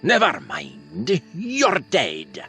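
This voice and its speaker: A man's voice: fairly high pitch, wildly swooping pitch, very slow, bright, forceful tone, husky, faint music behind, voice pushed up, heavily acted. A man voicing a brutal monkey-man warrior in a fantasy audiobook, growling curt threats. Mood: menacing.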